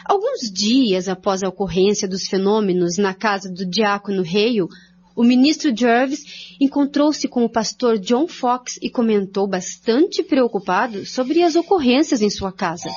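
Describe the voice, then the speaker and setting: A woman's voice: medium pitch, expressive, unhurried, a little mellow, breathy edge. A woman with a Brazilian accent reading scripted narration for a radio drama. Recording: dull lo-fi audio.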